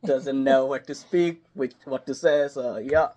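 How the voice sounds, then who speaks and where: Man, voice actor guest. Animated, rambling storyteller, mid-range voice, leans on "like", gets loud when excited. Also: medium pitch, a little slow. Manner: loose, hesitant phrasing with many "like"s. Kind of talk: casual interview chat.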